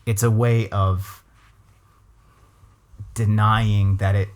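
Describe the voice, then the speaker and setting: Give me a laid-back West Coast American man hosting a chat podcast, low voice, musing and hedging. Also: flat delivery, very slow. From Los Angeles, USA. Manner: thoughtful, full of restarts and hedges. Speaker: podcast host. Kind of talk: casual conversational interview.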